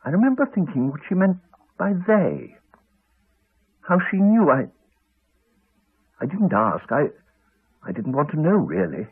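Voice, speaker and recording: Haunted man, husky voice, widely swinging pitch, halting and subdued, old radio drama. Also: very animated, exaggerated voice. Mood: uneasy, reflective. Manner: hesitant, halting, subdued, with trailing pauses. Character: Alex, haunted man haltingly recounting a horror. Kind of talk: 1980s radio drama acting. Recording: muffled phone-like archive radio recording.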